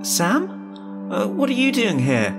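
A man's voice: high-pitched, huge pitch swings, slow pace, loud music behind the voice, voice pushed up, full character voice. A man voicing a nervous, polite, hesitant woman in a horror audio story. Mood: wary. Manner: hesitant, flustered. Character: nervous, polite, wary woman cornered on roadside.

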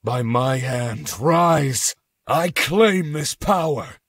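A man playing a grim, imperious necromancer warlord in a fantasy video game, with a raspy, theatrical delivery. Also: medium pitch, lively intonation, no echo, studio quality, heavily acted. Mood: commanding, menacing. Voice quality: husky, raspy. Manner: slow, imperious, dramatic, formal diction. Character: grim, commanding undead-raising necromancer warlord.

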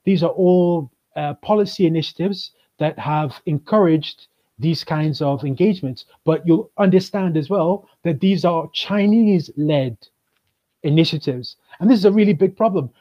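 A man, a guest speaking in a measured, explanatory way on a video call. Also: on the high side. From Kenya. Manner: measured, explanatory, with frequent ums. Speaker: guest, chamber of commerce manager. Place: indoors, plain room. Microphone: webcam on video call. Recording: dull lo-fi video call audio.

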